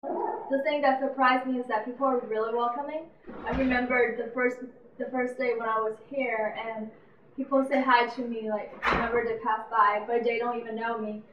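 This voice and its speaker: A woman gives earnest interview answers in a higher, flat voice. She sounds distant from the mic in an echoey space, and the recording is dull. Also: normal speed, background noise. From China.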